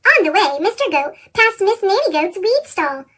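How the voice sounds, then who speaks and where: A woman narrates a children's story in an extremely high, chipmunk-pitched voice. The read-aloud is animated, with wide swings in intonation. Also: normal speed. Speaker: picture book reader.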